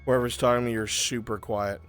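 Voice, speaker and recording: Grave, commanding male conspirator played by a man briefing hired agents in fantasy roleplay; mid-pitched, deliberate, music underneath. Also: steady tone, a bit bright, close to an everyday voice. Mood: grave, purposeful. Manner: deliberate, commanding, gives instructions. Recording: music behind the voice. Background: background music.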